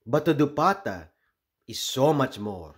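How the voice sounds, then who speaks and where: A man from the Philippines reading a children's picture book aloud expressively, pausing deliberately between short phrases. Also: medium pitch, sing-song. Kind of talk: expressive storybook read-aloud.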